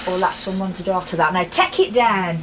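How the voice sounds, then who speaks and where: An actress playing a bossy, defiant miner's wife with a Northern English accent, brisk and blunt, in a radio drama. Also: female voice, on the low side, fairly bright tone, slight background noise, lightly acted. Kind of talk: naturalistic radio drama acting.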